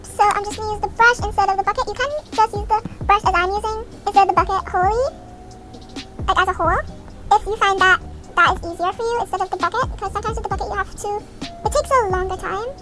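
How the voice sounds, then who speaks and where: A woman's voice: very high voice, fairly even intonation, fast, a bit bright. A young woman giving a casual, step-by-step tutorial as a video creator in a voice-over. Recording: music behind the voice. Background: background music.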